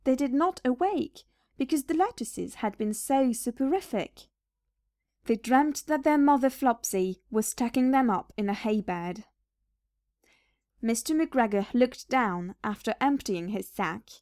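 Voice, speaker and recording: A woman reading a children's story aloud as narrator, expressive and measured. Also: a little high, no room sound, studio quality.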